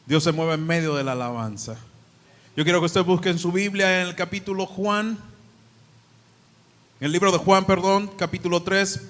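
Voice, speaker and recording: A man's voice: fairly high pitch, a bit bright. A man from the Dominican Republic with a Dominican accent, preaching a sermon to a congregation, praying, reading scripture aloud and speaking chattily between readings. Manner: prays and reads scripture aloud, then talks chattily to the congregation. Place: church hall. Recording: long church hall reverb.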